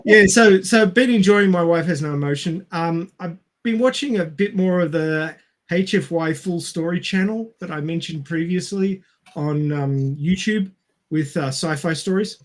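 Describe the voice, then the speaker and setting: High-pitched Australian man chatting casually. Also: moderate pace. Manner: jokey, self-deprecating. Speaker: podcast co-host. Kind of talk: casual chat.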